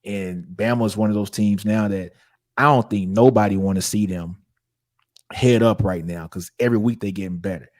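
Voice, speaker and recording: A man from Louisiana, co-hosting a casual sports podcast in a relaxed storytelling way. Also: lowish voice, normal speed. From Louisiana, USA. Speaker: podcast co-host.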